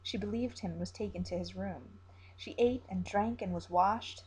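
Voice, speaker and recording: A woman's voice: medium pitch, normal speed, warm tone, slight background noise, low-quality recording. Woman narrator reading a story expressively.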